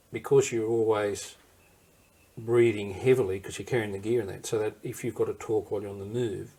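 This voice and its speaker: A man's voice: on the low side, gravelly, mellow, husky. An older man with an Australian accent telling war memories in an interview, in a rambling, anecdotal way that wanders off and circles back. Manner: rambling anecdotal, digresses and restarts. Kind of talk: recorded interview storytelling.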